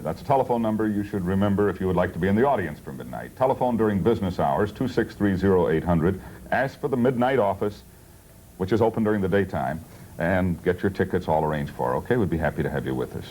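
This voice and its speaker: Low-voiced man hosting late-night talk. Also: expressive, fast, darkish tone, low-quality recording. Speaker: talk show host. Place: TV studio. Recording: old TV tape, faint noise.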